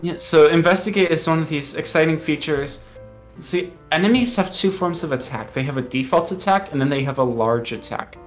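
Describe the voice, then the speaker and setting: A man's voice: on the high side, brisk, highs cut off, faint music behind. A man being interviewed as a game developer, explaining things in an earnest, hesitant way.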